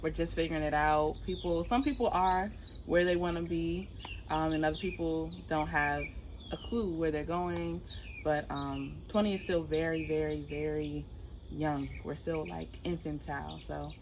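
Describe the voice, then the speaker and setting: A woman's voice: deep voice, fairly even intonation, normal speed, noisy background. A woman in her mid-twenties speaking frankly and laid-back in casual chat. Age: 20s. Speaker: interviewee.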